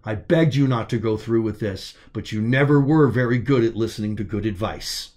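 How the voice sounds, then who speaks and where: A man voicing a calm, commanding male officer in an audiobook, measured and reassuring as he speaks over the comms. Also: on the low side, close to an everyday voice. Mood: calm, coaxing. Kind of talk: dramatic audiobook character voice.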